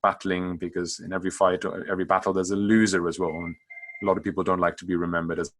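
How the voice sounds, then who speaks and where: Middle-aged German-accented doctor, very low pitch, giving a halting, stop-start talk. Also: male voice, little pitch movement. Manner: halting, many restarts and repeated words. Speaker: palliative care doctor. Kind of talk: conference talk with slides.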